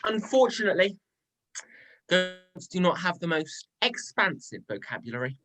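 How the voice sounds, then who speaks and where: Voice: medium pitch, sing-song, normal speed, a bit bright, rough recording. An amateur performer playing a sly male trickster god, mocking, smug and playful, in a dramatic reading of a myth.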